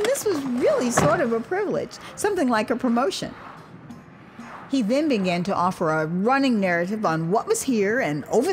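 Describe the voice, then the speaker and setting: An American woman narrating her own memoir as an audio drama, in a wry, conversational storytelling manner. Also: fairly high pitch. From United States. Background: street ambience and vehicle sound effects.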